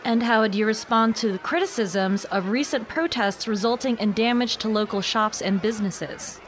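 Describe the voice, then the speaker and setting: A woman's voice: a little high, normal speed, a faint crowd, a little hiss or hum, close to an everyday voice. A woman playing a composed TV news reporter in an audio drama, reporting live in an articulate, urgent, professional way. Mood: probing. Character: composed female TV reporter covering a tense protest.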